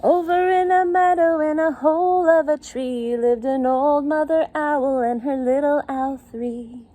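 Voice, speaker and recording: Woman, children's teacher, high-pitched, unhurried, sing-song picture book reading, simple child-directed phrasing. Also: steady tone, warm tone, dry recording. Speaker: children's circle time teacher and story reader.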